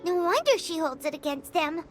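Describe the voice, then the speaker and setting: A woman voicing a small, excitable, childlike fairy companion in an anime game, squeaky and exclamatory. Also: moderate pace. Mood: indignant, realizing. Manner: speaks of self in third person, exclamatory. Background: music.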